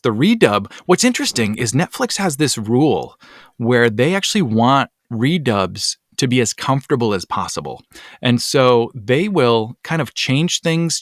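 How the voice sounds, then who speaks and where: A man hosting a podcast, talking casually with lots of ums and quick asides. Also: mid-range voice, no room sound, clean studio sound. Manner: chatty, many ums, rising asides. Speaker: podcast host. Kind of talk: casual chat.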